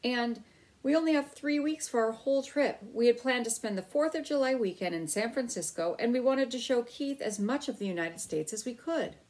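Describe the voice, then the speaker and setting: A woman's voice: on the high side, expressive, moderate pace, a little mellow, voice pushed up, lightly acted. A woman narrator voicing an anxious mother on a road trip, fretful and complaining, in a storybook reading. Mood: protesting.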